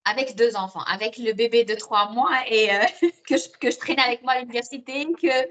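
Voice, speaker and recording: A woman's voice: fairly high pitch, fairly even intonation, a little fast. A woman from Morocco talking casually as an interview guest, telling her own story with repeated words as she thinks. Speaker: podcast guest; osteopath and student.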